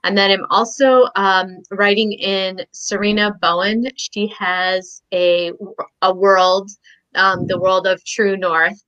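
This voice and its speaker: A woman's voice: medium pitch, level tone, slow pace, a bit bright, clear, resonant tone, dull audio. A woman from Michigan, a guest author chatting casually on a video call, talking with lots of "um"s and small laughs. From Michigan, USA. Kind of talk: casual podcast chat.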